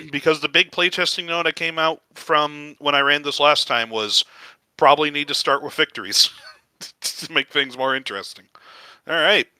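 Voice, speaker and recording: Give me a joking game master, a man with a high, bright voice on a dry close mic. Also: normal speed, smooth, no room sound, poor audio. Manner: relaxed, joking, laughs often. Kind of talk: running an online tabletop game.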